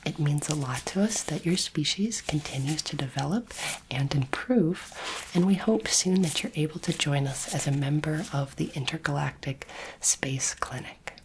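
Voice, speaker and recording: A woman whispering gently and reassuringly in an ASMR roleplay, acting as a calm, kindly alien doctor, close to the microphone. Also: low voice, noisy background. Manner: soothing, gentle, reassuring, unhurried. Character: kindly, calm female alien space doctor examining a human. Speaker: ASMR performer playing an alien space doctor.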